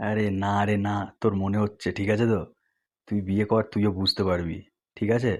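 A man's voice: on the low side, fairly even intonation, fairly quick, a little mellow, close to an everyday voice. A man voicing a practical, confident friend in a comedy cartoon, speaking. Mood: earnest.

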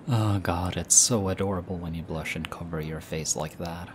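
A man playing a low, hushed, teasing boyfriend. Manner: soft, hushed, teasing with light laughs. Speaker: voice actor. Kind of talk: audio roleplay. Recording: steady ambient background noise. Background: busy airport ambience.

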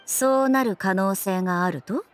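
An actress playing a stern, by-the-book young police captain in an anime-style game, formal, clipped and dry. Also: female voice, mid-range voice, normal speed, faint music behind, natural voice. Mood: skeptical, stern.

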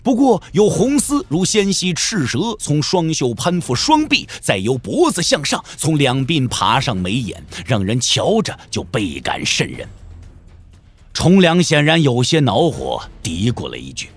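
Animated male storyteller, slightly high voice. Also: measured pace, breathy edge, faint music behind. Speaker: narrator. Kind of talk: dramatic scripted storytelling of a wuxia audio drama.

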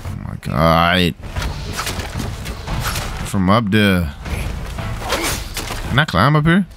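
A man's voice: low voice, sing-song, extremely slow. A man giving casual, slangy gameplay commentary, swearing at the game while getting over a cold. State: getting over a cold, slightly rough throat. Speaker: gaming video host. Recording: game music playing behind.

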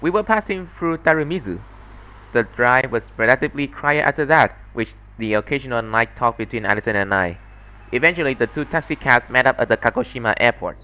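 A man's voice: mid-range voice, expressive, brisk, bright, forceful tone, poor audio. A man reading scripted first-person narration for a visual novel fan dub as an amateur narrator.

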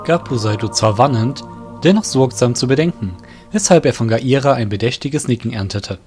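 A man narrating an audio drama in an expressive storytelling way, over music. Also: medium pitch, moderate pace. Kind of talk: scripted narration.

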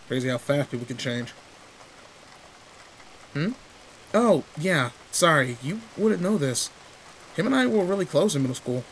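A man's voice: fairly high pitch, a little hiss or hum. Man playing teasing delinquent boy in the rain. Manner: casual slangy, teasing, swearing. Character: tall teenage delinquent boy, teasing, protective, secretly sweet. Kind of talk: roleplay audio drama, intimate one-sided dialogue. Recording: steady rain ambience behind voice. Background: rain in a forest.

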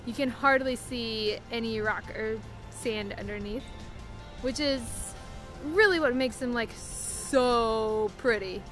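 A woman's voice: on the high side, takes it slowly, music in the background. A woman in her thirties chatting enthusiastically and giggling as she vlogs outdoors on a wooded island shore, filming on a phone held at arm's length. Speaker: sailing vlogger. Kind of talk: casual vlog chat.

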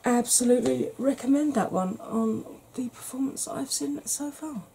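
A middle-aged woman talks in a soft, unhurried ASMR manner, chatting on about all kinds of things. Her voice is mellow and slightly husky, with a relaxed warmth. She sits close to the camera in a small room at home. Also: a little high. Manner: gentle, rambling, chatty. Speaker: beauty YouTuber. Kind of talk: soft-spoken ASMR makeup chat.

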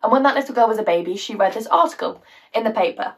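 A woman's voice: on the high side, expressive, lightly acted. Actress playing a bitter, wounded British woman, slightly distant. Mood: sardonic, halting. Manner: sardonic, halting, emotionally volatile. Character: bitter, wounded woman confiding a painful story. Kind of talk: theatrical audition monologue.

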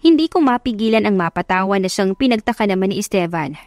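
Woman, audiobook narrator, scripted romance storytelling, medium pitch, dry close recording. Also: animated, quick pace, a little mellow, dry recording, soft background music.

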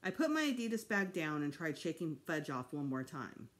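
A middle-aged woman reading a children's novel aloud in an expressive storytime style. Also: low voice, normal speed. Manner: expressive, acts out dialogue. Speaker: storytime reader. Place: small home room, seated indoors.

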